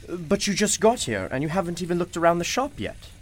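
A man's voice: high-pitched, big pitch swings, a little fast, a little hiss or hum. Man playing a courtly, mysterious shopkeeper, swooping intonation. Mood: mysterious, persuasive. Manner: courtly, formal, unflappable phrasing. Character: genial, mysterious, seemingly ageless old-fashioned male shopkeeper. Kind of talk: radio play acting.

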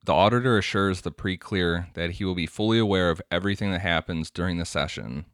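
A man's voice: deep voice, fast talker, fairly bright tone, no room sound, clean studio sound. A man co-hosting a casual podcast, explaining a topic from notes and laughing often. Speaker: podcast co-host. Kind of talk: casual podcast chat, reading quotes aloud.

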